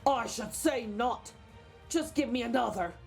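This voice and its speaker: A woman's voice: fairly high pitch, unhurried, gravelly, a little mellow, soft background music. A woman voicing a boastful, gluttonous bully of a male giant in a children's storybook read-aloud. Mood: outraged hollering. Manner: blustering, boastful.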